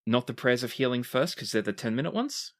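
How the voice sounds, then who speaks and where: A man narrating a tabletop roleplaying game as game master, in a measured, deliberate storytelling manner. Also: medium pitch, lively intonation.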